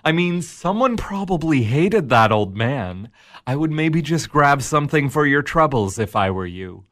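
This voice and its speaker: An actor playing the Devil in a radio drama, a measured, mocking tempter who narrates and coaxes. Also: male voice, on the high side, very animated, a bit bright. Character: the Devil, smooth, amused male tempter.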